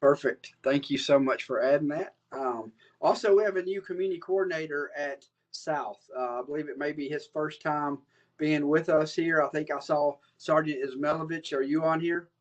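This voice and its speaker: A man's voice: a little high, moderate pace. A man, a police commander, speaking upbeat at a virtual community meeting. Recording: muffled video call audio.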